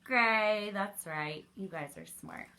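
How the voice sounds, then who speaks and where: A woman's voice: mid-range voice, animated, takes it slowly, bright, forceful tone. A woman leading an interactive children's story time, asking questions and encouraging young listeners as she reads. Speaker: library story time reader.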